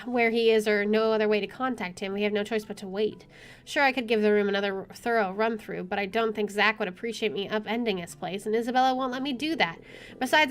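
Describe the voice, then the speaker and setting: A woman's voice: mid-range voice, a bit bright. A woman reading visual novel narration aloud as a game streamer, informally, with offhand asides. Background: game music.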